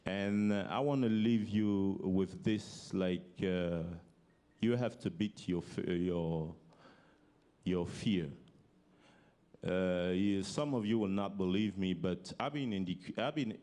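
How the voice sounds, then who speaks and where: A man with a French accent, giving a halting public speech and often restarting his sentences as he searches for words in English. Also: low-pitched, warm tone. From French-speaking African country. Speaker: forum speaker.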